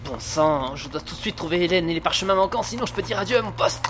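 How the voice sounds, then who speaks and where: A put-upon police inspector in an audio drama. He is flustered and exasperated, stammering and grumbling in a fairly high voice, with music underneath. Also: animated, fast. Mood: agitated, exasperated. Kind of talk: French audio drama acting.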